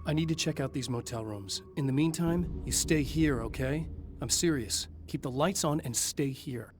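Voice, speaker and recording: A man's voice: on the high side, moderate pace, background noise, close to an everyday voice. An actor playing a tense, determined male writer who gives firm, clipped orders in a video game. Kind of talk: video game cutscene acting. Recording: game audio over music.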